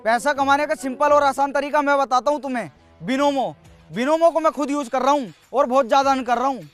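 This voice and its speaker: A man playing a confident young village lad giving an assured, explanatory scripted sponsor pitch. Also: fairly quick, a bit bright, faint music behind.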